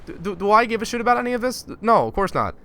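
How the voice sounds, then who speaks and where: Bright-voiced ranting young man from Finland. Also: high voice, sing-song, very fast. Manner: exasperated, ranting, sarcastic. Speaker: gaming YouTuber. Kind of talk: casual vlog rant.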